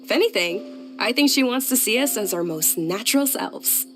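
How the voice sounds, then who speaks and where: A woman playing a polite, gentle young noblewoman in a video game, soft-spoken, earnest and courteous. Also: a little high, lively intonation, moderate pace, faint music behind, natural voice. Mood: pleased. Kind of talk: video game dialogue acting.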